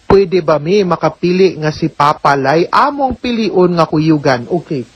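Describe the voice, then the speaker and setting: A woman's voice: low voice, lively intonation, unhurried, breathy edge, no echo, dull audio. A woman hosting a radio legal advice show, answering listeners' letters in a firm, explanatory way and slipping in English phrases. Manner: mixes in English words and phrases.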